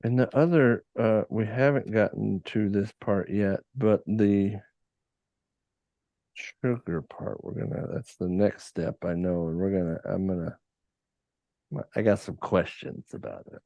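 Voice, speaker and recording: A man's voice: on the low side, steady tone, unhurried, gravelly, warm tone, dull audio. A man in his forties from Arkansas hosting a cocktail show over a video call from his home kitchen bar, chatty and joking with many fillers. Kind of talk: casual video call chat.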